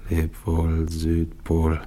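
An actor playing a middle-aged, exhausted polar expedition captain who is freezing and fading, speaking in halting, resigned fragments with a husky voice. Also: male voice, extremely low pitch, completely flat delivery, warm tone, heavily acted. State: freezing, weakening. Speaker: expedition leader. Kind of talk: radio drama monologue.

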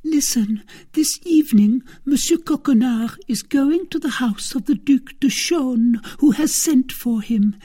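A man's voice: very high-pitched, a little slow, warm tone, husky, voice pushed up, full character voice. A man voicing a flustered, anxious middle-class wife in an audiobook novel, pleading and apologetic. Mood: coaxing.

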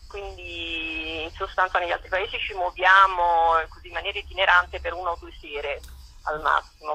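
A woman speaks over the telephone as an interviewed festival director. Her voice is husky and very bright, with a forceful edge. She explains and lists details, often repeating small words as she searches for the next. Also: on the low side, low-quality recording.

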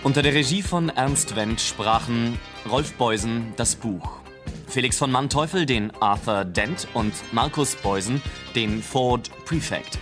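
A man's voice: mid-range voice, unhurried. A man announcing for a radio drama, reading scripted credits. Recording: music under the voice.

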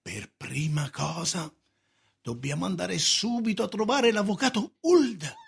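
An actor playing an agitated, domineering middle-aged uncle in a radio drama, with an emphatic, scolding theatrical delivery. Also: male voice, sits high, lively intonation, a little slow, vocal fry, breathy edge, heavily acted. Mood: insistent.

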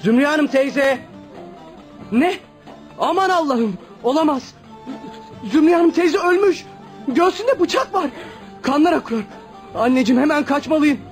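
An actor in a radio play playing a dutiful, excitable young student son who speaks earnestly. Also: male voice, very high-pitched, unhurried, long pauses, faint music behind. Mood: panicked. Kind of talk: Turkish radio theatre acting.

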